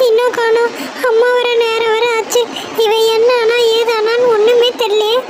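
Worried good-girl chick, played by a woman, very high, loud, anxious. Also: monotone, moderate pace, a little hiss or hum. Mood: fretful. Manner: loud, fretful. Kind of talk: cartoon voice acting.

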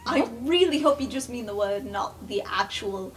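A woman's voice: mid-range voice, lively intonation, normal speed. A woman playing a tabletop game, improvising in-character dialogue in a matter-of-fact, questioning way. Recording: music bed under the voice.

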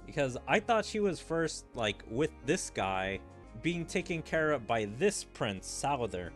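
A male streamer talks casually through a game with music playing behind him. His voice sits at a middle pitch. He rambles as he puzzles things out aloud. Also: big pitch swings, a little slow. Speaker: gaming streamer. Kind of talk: casual playthrough commentary, reading game dialogue aloud.

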